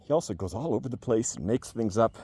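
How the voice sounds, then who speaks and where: A man's voice: fairly low pitch, expressive, fast talker, warm tone, husky. A man talking casually and spontaneously to camera in a rambling, wry, jokey way, like a vlogger giving a review. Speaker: video blogger giving a book review. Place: on a farm among cows.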